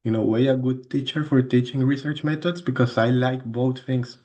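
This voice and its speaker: A man in his thirties from Mexico speaks English with a clear Mexican accent, his first language being Spanish. He talks through a course introduction like a lecturer chatting with students, at an average pitch. He often restarts phrases and repeats words as he goes. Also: fairly even intonation, normal speed, dark tone, lo-fi sound. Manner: conversational, self-correcting, repeats words. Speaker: university lecturer.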